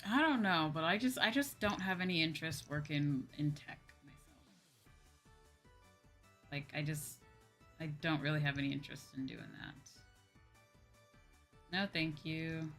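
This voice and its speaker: A woman's voice: deep voice, normal speed, pauses often, fairly bright tone, faint music behind. A slightly sleepy woman hosting a livestream, chatting casually and informally with her viewers. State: a little sleepy. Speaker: livestream host, VTuber.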